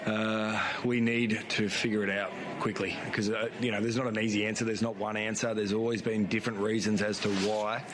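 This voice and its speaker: A hoarse man, a head coach answering post-match press questions frankly, with many fillers and restarts. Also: lowish voice, little pitch movement, brisk, hardly any pauses, crowd noise.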